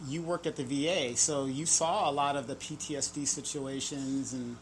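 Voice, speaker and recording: A woman in a casual chat, teasing the host and throwing in quick remarks. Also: rumbling low, steady tone, slight background noise, poor audio.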